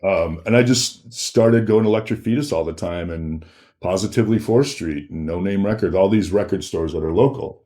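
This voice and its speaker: A man's voice: deep voice, normal speed, warm tone. A man, telling stories casually as a podcast guest, informal and joking. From Minneapolis, USA. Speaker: podcast guest, DJ and personal trainer. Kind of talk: casual storytelling.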